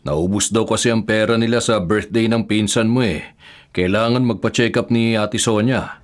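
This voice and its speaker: A man's voice: lowish voice, steady tone, moderate pace, natural voice. A man playing a kind, forgiving older father in a Filipino radio drama. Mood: kindly, placating.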